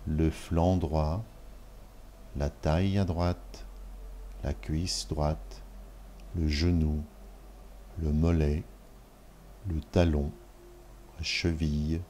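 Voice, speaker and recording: Male yoga nidra guide: very low, dark voice, measured short phrases with long pauses, calm instruction for relaxation. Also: slight background noise.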